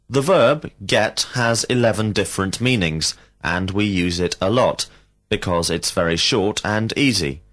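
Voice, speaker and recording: Slow, deliberate male English teacher. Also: on the low side, fairly bright tone. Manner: slow, carefully enunciated prompts. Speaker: language teacher. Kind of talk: scripted drill questions for English learners.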